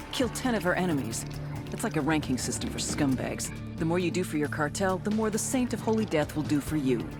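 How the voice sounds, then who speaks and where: An actress plays a tough, cynical female intelligence agent narrating a cartel briefing in a video game. Her voice is low and swings widely in pitch as she talks. She is blunt, sardonic and foul-mouthed, with loud music under her. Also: natural voice. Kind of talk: scripted dramatic narration in a video game cutscene.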